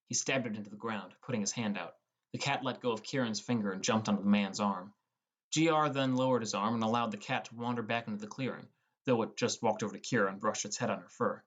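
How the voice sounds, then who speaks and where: A man's voice: on the low side, fast, fairly bright tone. A man reading scripted first-person narration as the narrator of an audiobook.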